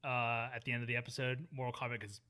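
A man's voice: mid-range voice, flat delivery, fast talker, sharp tone. A man co-hosting a casual movie podcast, blunt and jokey. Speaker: podcast co-host. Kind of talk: casual chat.

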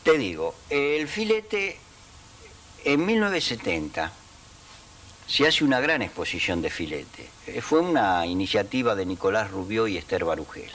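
A man's voice: lowish voice, big pitch swings, normal speed, a bit bright, recorded from a distance, a little hiss or hum. An older man with a Rioplatense accent recounting his trade as a sign painter in an interview, with a handheld mic. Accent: Rioplatense Spanish. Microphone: handheld mic held by interviewer.

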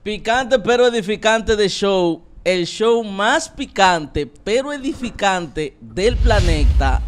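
A man in his thirties hosts a playful talk show from a small room. His voice sits high and swings widely in pitch. He teases his guests and laughs a lot. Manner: playful, theatrical, laughs often. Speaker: show host. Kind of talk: comedic talk show interview. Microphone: handheld mic.

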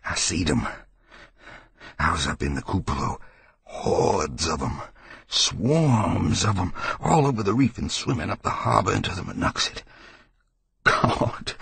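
A man voicing a hysterical, frightened old New Englander with a rasping voice and rambling rural dialect, in an audiobook horror story. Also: low voice, fairly bright tone, voice pushed up, full character voice. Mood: terrified. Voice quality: gravelly, husky old man's rasp. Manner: rambling folksy dialect, cackling and fearful. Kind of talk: dramatized audiobook character voice.

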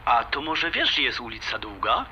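Man acting as smitten suitor, very bright forceful voice, animated swings, eager, radio drama. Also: mid-range voice, normal speed, muffled recording, a little hiss or hum. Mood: persuasive. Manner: eager, colloquial, mixes in English words. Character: enthusiastic, romantic suitor Jasiek, sure fate joins him. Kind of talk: audio drama dialogue.

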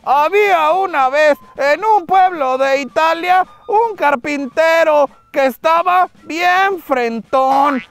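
Woman acting as cheeky little boy, high voice, children's skit, whiny and playful. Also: gravelly, exaggerated voice.

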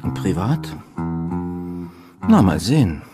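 A man's voice: deep voice, very animated, slow, over loud music, heavily acted. An actor playing a world-weary, womanizing police detective in a radio crime drama, wry, dry and conversational. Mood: wry, musing. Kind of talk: German radio crime drama acting with inner monologue.

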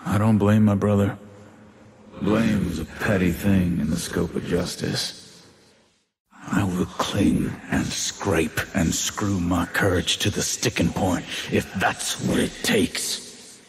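An actor playing a grim, vengeful demon-possessed cowboy gunslinger in a video game, brooding and cool with a drawl and a demonic growl. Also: male voice, steady tone, slow, slight background noise. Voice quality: low drawl with demonic growl layered on words.

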